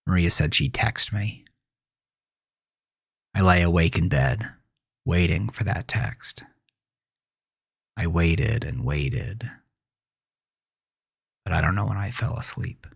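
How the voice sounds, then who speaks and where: An actor playing a haunted, dazed teenage boy, narrating a horror audio drama as a brooding, halting confession. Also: deep voice, vocal fry, husky, no room sound, studio quality, heavily acted. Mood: dazed, reflective.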